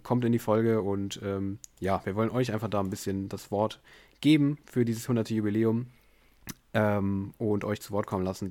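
Male podcast host, low voice, tired, lots of fillers, casual. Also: darkish tone. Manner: rambling, many fillers, tired and low-key. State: unwell, headache. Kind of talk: casual chat. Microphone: remote call via bot.

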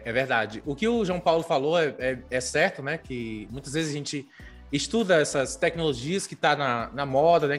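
A man hosting a podcast and putting questions to his guests with many fillers. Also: a little high. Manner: steady, filler-heavy questioning. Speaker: podcast host. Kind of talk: podcast interview.

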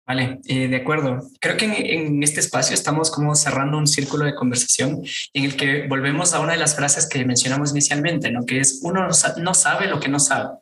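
A man's voice: a little high, brisk, few pauses, a bit bright, a little hiss or hum. A man hosting an interview, effusive and chatty with many fillers. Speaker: podcast host. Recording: echoey room.

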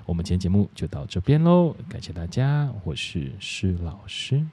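A man's voice: sits low, sing-song, moderate pace, dark tone, slightly rough. A man with a Taiwanese Mandarin accent hosting a podcast in a casual, chatty way. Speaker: podcast host. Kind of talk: casual chat.

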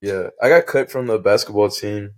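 A man's voice: on the low side, moderate pace. A man in his twenties from Memphis, Tennessee, chatting easily as a guest in short, plain phrases. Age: 20s. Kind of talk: casual chat.

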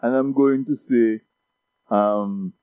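A man's voice: mid-range voice, slow pace, warm tone, clean tone, no echo. Man from Dominica, casual talk guest, stammering repeats, dry lo-fi recording. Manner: repeats words while building points. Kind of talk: casual discussion. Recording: dull lo-fi sound.

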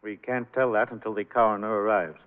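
An actor playing an evasive, nervous doctor in a 1940s radio thriller. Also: male voice, lowish voice, steady tone, quick pace, clean tone, natural voice. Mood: nervous denial. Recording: muffled old radio, long hall reverb.

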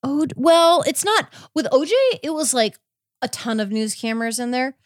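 A woman's voice: sits high, moderate pace, no room sound, clean studio sound. A woman podcast host chatting casually about a case, often hesitating and correcting herself as she goes. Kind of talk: casual chat recapping a court case.

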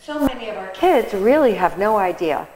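A woman's voice: mid-range voice, big pitch swings, moderate pace, darkish tone. A woman hosting a casual interview show, chatty, with many fillers and restarts. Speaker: host. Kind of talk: casual studio interview chat. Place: catering kitchen set. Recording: roomy hall with long echo.

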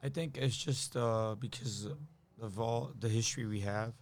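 A man's voice: fairly low pitch, fairly even intonation, normal speed. An Armenian-American man, a guest in a casual chat, explaining things thoughtfully with frequent fillers. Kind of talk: casual conversation.

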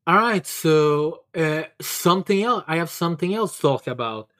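A man hosting a casual chat in a halting, stammering way, with blunt swearing. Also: a little high, expressive, fairly bright tone. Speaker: podcast host.

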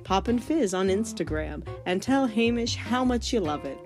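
A woman's voice: mid-range voice, animated, moderate pace. A woman hosting a podcast introduction in a casual, wry and playful way, with guitar music behind her. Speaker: podcast host.

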